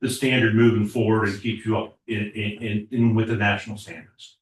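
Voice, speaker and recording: A man serving as code enforcement officer, reporting to a borough council with a halting delivery, many filler words and repeated starts. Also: on the low side, flat delivery. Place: municipal council chamber. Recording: echoey chamber audio from far off.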